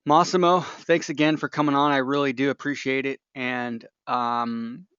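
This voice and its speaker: A man's voice: mid-range voice, fairly even intonation, fairly bright tone, smooth, no echo. Hesitant male webinar host, dull dry audio. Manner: hesitant, halting delivery with broken-off sentences. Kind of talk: casual, unscripted webinar chat.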